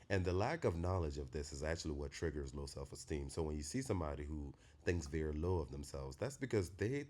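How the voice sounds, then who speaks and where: Nigerian man, extremely low voice, preachy spiritual monologue, emphatic. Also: a little mellow. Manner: reflective, preachy, emphatic, with sudden high-pitched bursts. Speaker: host.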